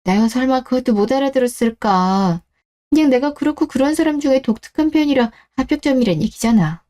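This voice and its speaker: A woman's voice: sits high, expressive, fairly quick, mellow, voice pushed up. A woman playing a level-headed young bride-to-be in a scripted story-radio drama, composed, direct and matter-of-fact.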